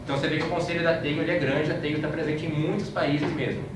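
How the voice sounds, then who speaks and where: A man's voice: on the high side, level tone, quick pace. A man from Brazil with a Brazilian Portuguese accent gives an informal slide-show talk in a conversational, anecdotal way, often adding little checking tags. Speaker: speaker giving a talk about his trip. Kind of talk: informal slide-show lecture, recounting travels. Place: indoor room, live talk to an audience. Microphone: far from the speaker. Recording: echoey room, distant mic, clear background noise.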